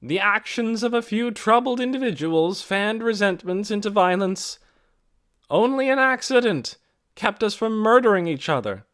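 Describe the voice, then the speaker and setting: A man voicing a steady, businesslike woman who leads her village, in measured, solemn tones for an audiobook. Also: high voice, pitched above the performer's own voice. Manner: measured, solemn public speaking. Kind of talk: audiobook character voice.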